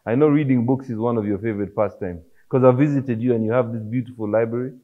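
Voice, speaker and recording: A man in his thirties hosting a studio talk show, asking questions in a friendly, relaxed way. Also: mid-range voice, fast talker. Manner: friendly, laid-back questioning. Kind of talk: casual interview. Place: studio set with armchairs. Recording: slightly dull studio audio.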